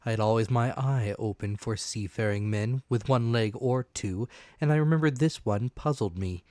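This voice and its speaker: A man giving a dramatic, deliberate audiobook narration of a classic adventure tale, in expressive storytelling style. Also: medium pitch, no room sound, studio quality.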